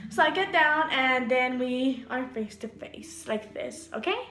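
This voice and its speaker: A young woman telling a storytime tale in a vlog, animated and giggly, acting out voices as she goes. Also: high voice, unhurried, slight background noise. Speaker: vlogger. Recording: echoey room.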